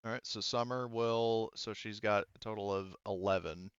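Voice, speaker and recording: A man's voice: fairly low pitch, steady tone, normal speed, clean tone, no echo, highs cut off. A man acting as game master, casually thinking aloud as he narrates dice rolls and rulings.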